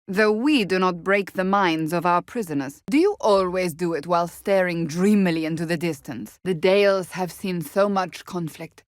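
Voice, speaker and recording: An actress plays a stern fantasy game warrior woman with a lowish voice and a dry, close sound. She is blunt and devout, speaking in formal, clipped phrases with deadpan exasperation. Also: normal speed, hardly any pauses, no echo, natural voice. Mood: guarded, earnest. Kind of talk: fantasy video game voice acting.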